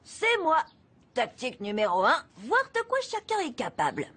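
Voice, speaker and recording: A woman's voice: on the high side, wildly swooping pitch, moderate pace, a bit bright, breathy edge, heavily acted. A woman voicing a cocky, scheming schoolboy in a cartoon, confident and persuasive. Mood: upbeat, reassuring. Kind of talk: French animated cartoon acting.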